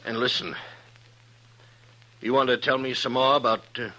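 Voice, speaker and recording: An actor playing a gruff, lonely, stubbornly generous drifter with a folksy rural American accent and a blunt manner, in a 1950s radio Western. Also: male voice, mid-range voice, flat delivery, normal speed, pushed and bright, natural voice. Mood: gruff, insistent. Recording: noisy vintage radio recording.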